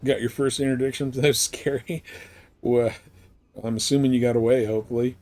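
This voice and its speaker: A man's voice: medium pitch, fairly even intonation, fairly quick, darkish tone. A man giving casual, rambling gameplay commentary as a live streamer, explaining things as he goes.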